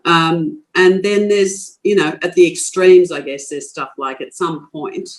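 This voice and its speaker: A woman's voice: lowish voice, a little slow. An older woman with an Australian accent from Queensland, a guest in a podcast interview, talking frankly and forthrightly with frequent restarts. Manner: frank, forthright, laughs easily, many restarts.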